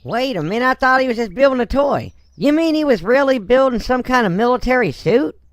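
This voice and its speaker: A man plays a businessman and dad in a Minecraft roleplay. The voice is high and swoops freely, clean and close. He comes across folksy and blunt, with courteous, hesitant turns. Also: expressive, brisk, no echo. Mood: blunt, surprised. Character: folksy male Texan businessman, ashamed father, blunt but courteous.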